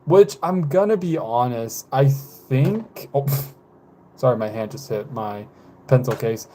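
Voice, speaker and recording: A man in his twenties chatting casually with live viewers, rambling and hesitant, with many fillers, self-corrections and soft laughs. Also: medium pitch, animated, slow pace, slight background noise, poor audio. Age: 20s. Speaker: live streamer.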